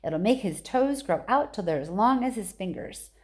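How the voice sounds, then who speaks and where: A woman voicing an eccentric, excitable male chocolate-factory owner in a children's storybook, with polite, breezy, dismissive patter. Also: mid-range voice, moderate pace, close to an everyday voice. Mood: brisk, dismissive.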